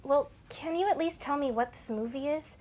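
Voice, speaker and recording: A young actress playing a sarcastic, guarded ex-actress daughter in an audio drama, with a dry, skeptical delivery. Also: female voice, high voice, normal speed, darkish tone, slight background noise, natural voice. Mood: hesitant, puzzled. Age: young adult.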